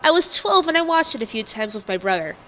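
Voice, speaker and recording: A woman's voice: a little high, very animated, fast talker, bright, forceful tone, faint music behind, rough recording. A woman playing a forum poster who confidently recalls an old puppet show in a dramatic reading. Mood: helpful recollection.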